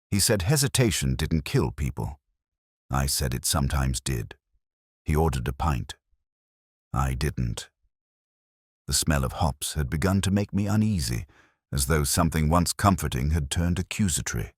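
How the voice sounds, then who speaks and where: A man narrating a first-person detective story in a measured, brooding, literary manner. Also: very deep voice, no room sound, clean studio sound.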